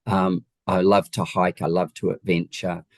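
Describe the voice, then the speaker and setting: A man with a low-pitched voice and a New Zealand accent speaks as an interview guest, recorded dry and close. He explains things conversationally and leans on key points with emphasis and rhetorical questions. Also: level tone, normal speed, no room sound. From Wellington region, New Zealand. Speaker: guest, home designer.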